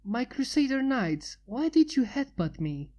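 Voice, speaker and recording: A woman's voice: a little high, sing-song, unhurried, mellow. A woman voicing a smug male inventor, plainly in her own voice. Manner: smug, mocking.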